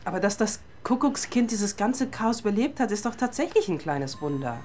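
A woman's voice: mid-range voice, sing-song, moderate pace, a little mellow, a little hiss or hum, natural voice. A woman playing a tough, probing police inspector in a crime radio play, speaking in a pointed and controlled way. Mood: dry, controlled. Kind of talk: German crime radio drama acting.

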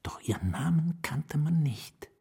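An actor in a German radio drama playing a haunted, nameless writer who confides in a halting, dramatic way, sometimes hushed to a whisper. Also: male voice, a little high, lively intonation, vocal fry, husky, heavily acted. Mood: intense, enraptured. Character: haunted nameless male writer confiding his lost love.

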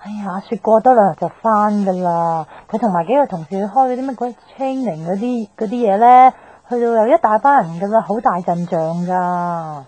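A woman's voice: medium pitch, normal speed, few pauses, warm tone, close to an everyday voice, low-quality recording. A woman playing a blunt, teasing, nosy young woman in a radio drama, with slangy banter and a Hong Kong accent. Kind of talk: 1990s radio drama acting. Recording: radio drama with music underneath.

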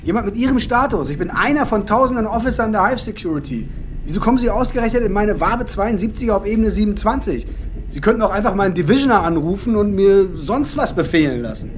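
A sceptical, defensive officer in a radio drama. His high voice moves expressively through blunt, offhand replies, over clear background noise. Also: lively intonation, fast.

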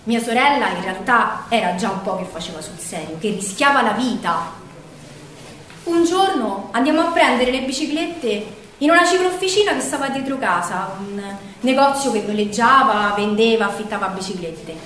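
A woman's voice: fairly high pitch, animated, normal speed, fairly bright tone. A woman with a Roman accent playing a feisty working-class partisan who recalls her girlhood in a colloquial, emphatic stage monologue. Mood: earnest. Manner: colloquial, emphatic, dramatic storyteller. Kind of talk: theatrical first-person storytelling monologue. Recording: very echoey hall, distant mic, faint noise.

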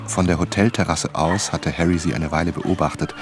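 A man's voice: rumbling low, a little fast, over music. A man playing an inquisitive writer who narrates and asks probing questions in a German radio drama. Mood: narrating. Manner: probing, attentive.

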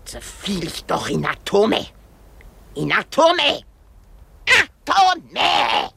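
A woman's voice: a little high, big pitch swings, very slow, vocal fry, a bit bright, slightly rough, no room sound, heavily acted. An actress in a radio drama playing a weary, querulous old married woman, dramatic, self-pitying and given to exclamations. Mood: despairing.

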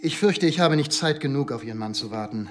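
A man's voice: medium pitch, lively intonation, quick pace, music in the background, natural voice. A man playing a formal, insistent police inspector who questions in a clipped way in a radio crime drama.

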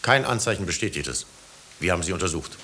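Stern, deep-voiced Puritan judge. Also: male voice, lively intonation, fast talker, sharp tone, heavily acted. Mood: stern, measured. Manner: authoritative, formal, rhetorical. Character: stern, unbending male judge of Puritan Salem. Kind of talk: 1950s German radio drama acting. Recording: hissy old radio drama recording.

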